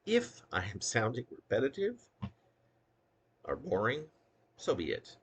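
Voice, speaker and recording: A man's voice: mid-range voice, wildly swooping pitch, unhurried, breathy edge. A man reading his own poetry as an essay-like monologue, in a ruminative, wordy and emphatic manner. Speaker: poet reading own work. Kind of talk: spoken poetry reading, essay-like monologue with occasional unscripted asides.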